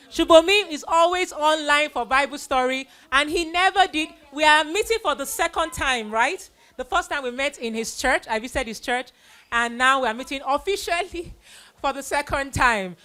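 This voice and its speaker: A middle-aged woman hosting children on stage, giving an informal, animated vote of thanks and speaking to an audience. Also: high voice. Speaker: host presenting child performers of a church play.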